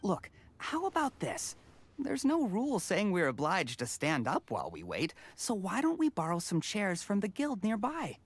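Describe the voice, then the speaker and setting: Very animated, sensible game peacemaker. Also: female voice, mid-range voice, moderate pace, breathy edge, heavily acted. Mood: placating. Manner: reasoning, placating. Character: sensible, firm female peacemaker among exam candidates. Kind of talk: video game voice acting.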